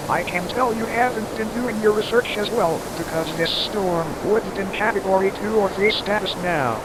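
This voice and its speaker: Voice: medium pitch, lightly acted. A performer voicing a foul-mouthed, hot-tempered storm reporter in an amateur audio drama, taunting and melodramatic. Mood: indignant, accusing. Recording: loud rushing wind and surf noise.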